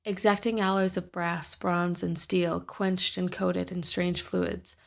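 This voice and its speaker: A woman host reading a first-person fantasy story aloud in a measured, deliberate way. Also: on the low side, gravelly.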